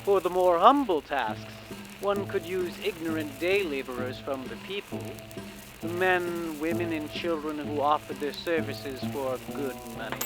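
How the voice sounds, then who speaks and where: Male reader, upper register, formal and deliberate literary recitation, clear music bed. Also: fairly high pitch, poor audio.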